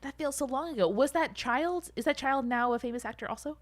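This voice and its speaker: Casual podcast co-host, woman with a fairly high voice, reactive and sweary, recorded dry. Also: fairly quick, dry recording. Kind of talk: casual chat.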